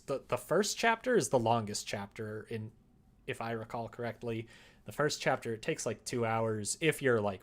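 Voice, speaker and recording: A man chatting casually on a livestream while he works, explaining things in a rambling way and sometimes muttering to himself. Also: medium pitch. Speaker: streamer developing a visual novel.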